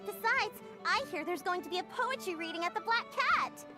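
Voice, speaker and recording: A woman voicing a perky, catty young alchemist in a visual novel, sweet on the surface and quietly cutting. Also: extremely high pitch, lively intonation, brisk. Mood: cheery catty. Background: game music.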